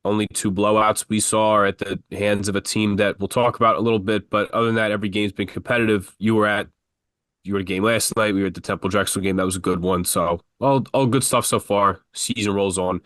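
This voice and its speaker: A man's voice: lowish voice, fairly even intonation, fast talker, a bit bright, no echo, studio quality. A man co-hosting a college basketball podcast, talking casually and rambling with frequent restarts. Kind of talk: casual sports talk.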